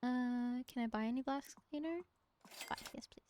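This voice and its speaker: A woman's voice: on the high side, moderate pace, darkish tone, slight background noise. A young woman streaming a game from home, chatting casually in a rambling, giggly way and talking to objects in the game. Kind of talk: casual chat while gaming.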